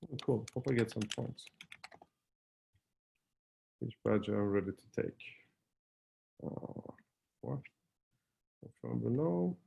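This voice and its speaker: Gravelly-voiced Swedish man hosting a webinar. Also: mid-range voice, animated, slow pace, pauses often, dark tone. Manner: loose and conversational, many fillers and restarts. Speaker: webinar host. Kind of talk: casual screen-share webinar walkthrough.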